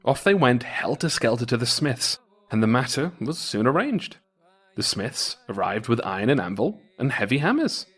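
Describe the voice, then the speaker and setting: A man narrating a folktale as storyteller in a dramatic, theatrical and expressive manner. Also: mid-range voice, moderate pace, breathy edge. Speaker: storyteller, podcast host.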